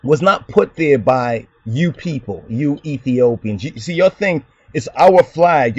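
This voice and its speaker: A man's voice: a little high, slightly rough, dry recording, muffled recording. A man hosting his own channel, delivering an argumentative commentary monologue in a lecturing tone, often asking "you understand?". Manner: lecturing, rhetorical questions, repeats "you understand". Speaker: channel host answering a commenter.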